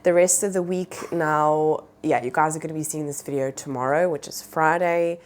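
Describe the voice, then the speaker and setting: A woman's voice: low-pitched, normal speed. A woman in her thirties, a mother recovering from a cold, chatting casually and rambling about her houseplants with a nasal voice. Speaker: plant vlogger, mother. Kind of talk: casual chat.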